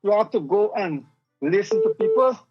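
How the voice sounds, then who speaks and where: A man's voice: sits high, animated, normal speed. A man in a casual political debate, firm and arguing back. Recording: dull lo-fi sound with faint music.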